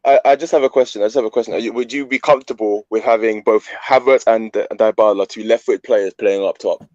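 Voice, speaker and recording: A man co-hosting a casual football debate, loud and repeating himself as he pushes his point. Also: fairly low pitch, steady tone, fast talker, dry recording, lo-fi sound. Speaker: podcast co-host.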